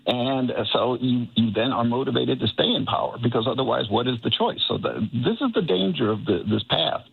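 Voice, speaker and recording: A man phones in to a radio show. His voice is gravelly and husky, squeezed through a tinny phone line. He argues earnestly, one step at a time. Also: mid-range voice, lively intonation, brisk. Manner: earnest, building argument step by step. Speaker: caller. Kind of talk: call-in commentary.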